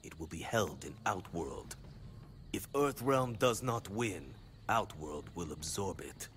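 An actor playing a sly, scheming sorcerer in a video game, with a gravelly voice and formal, deliberate delivery. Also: male voice, low voice, animated, fairly bright tone, a little hiss or hum, exaggerated voice. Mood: sly, persuasive. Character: sly male sorcerer, scheming servant of an emperor. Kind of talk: video game cutscene acting.

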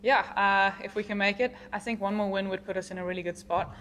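A woman's voice: medium pitch, steady tone, quick pace, a bit bright, slight background noise. A young woman cricket player giving casual answers at a post-match press conference. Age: young adult. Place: indoor press conference room.

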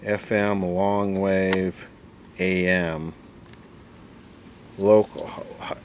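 Male repair vlogger, lowish pitch, unhurried, thinking aloud in casual mutters, faint background noise. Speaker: host repairing vintage electronics on camera. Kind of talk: casual off-the-cuff repair commentary. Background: steady background noise.

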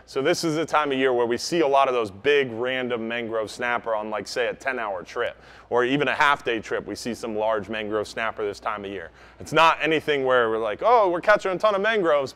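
Emphatic man with a higher-pitched voice, teaching. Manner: emphatic, practical, speaking up to a group. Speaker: charter fishing captain giving a seminar. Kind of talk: informal instructional talk to a live audience. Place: inside a large outdoor goods store. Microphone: camera a few meters away.

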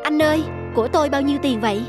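A woman's voice: sits high, rapid-fire, over music, natural voice. A woman playing an earnest, decisive female doctor, speaking emphatically in a cartoon drama. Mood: puzzled.

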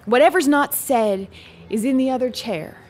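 A woman's voice: sits high, moderate pace, darkish tone. An actress playing a lonely, guarded young small-town woman in an audio play, with terse, sardonic retorts. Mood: dry, challenging. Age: young adult. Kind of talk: audio play acting before a live audience.

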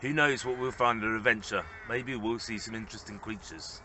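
A man in his thirties narrating a children's story expressively, with measured, gently dramatic phrasing. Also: on the low side, slight background noise. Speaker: storybook reader.

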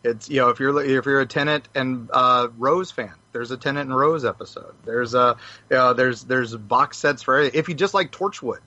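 A man's voice: medium pitch, moderate pace, bright, forceful tone. A middle-aged man chatting casually as a podcast panelist on lo-fi audio. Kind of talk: casual chat. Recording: dull, lo-fi audio.

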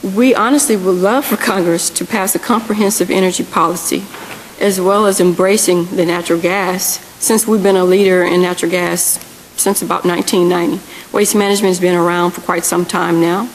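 Low-voiced woman speaking at a panel. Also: steady tone, fairly quick. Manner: earnest, repeats and corrects herself. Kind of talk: policy panel presentation. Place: large hearing room. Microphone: table microphone. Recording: long hall reverb, faint hiss.

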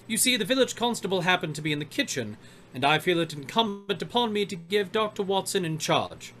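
A man's voice: high-pitched, lively intonation, fairly quick, fairly bright tone, soft background music. A man playing a stern, officious country doctor in a radio mystery, formal, pompous and unyielding. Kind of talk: old-time radio mystery acting.

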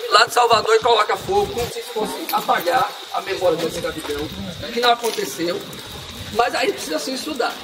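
A man with a Brazilian Portuguese accent giving a history talk to a group in a town square, recorded from a distance by the camera. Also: sits high. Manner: lecturing, steady explanatory delivery with fillers. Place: sunny town square outdoors. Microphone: far camera. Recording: distant outdoor voice with background noise.

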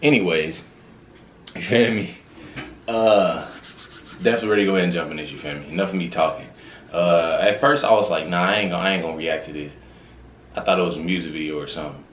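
A man's voice: low-pitched, fast, long pauses, a little hiss or hum. An American man in his thirties giving casual, slangy reaction commentary, often repeating his phrases. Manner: slangy, repetitive, conversational. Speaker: reaction video host. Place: small indoor room with records on the wall. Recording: roomy echo. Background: faint music.

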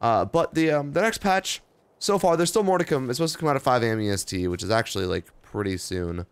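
Hoarse, gravelly-voiced tired young man. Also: medium pitch, animated, fast, no echo. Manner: rambling, offhand, reading patch notes aloud with asides. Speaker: gaming video host. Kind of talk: casual gameplay commentary.

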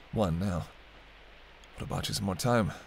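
Low-voiced sardonic vampire played by a man. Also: slow pace, vocal fry, a little hiss or hum, exaggerated voice. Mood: needling, sardonic. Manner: wry, bantering, casual, muttered asides. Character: sardonic male vampire, teasing reluctant road-trip companion. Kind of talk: intimate audio roleplay acting addressed to the listener.

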